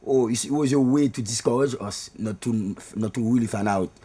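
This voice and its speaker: A man's voice: mid-range voice, fairly quick, warm tone, slightly rough. A middle-aged man from Haiti with a Haitian accent, reflective and loose with fillers as he talks in a documentary interview. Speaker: rara band member.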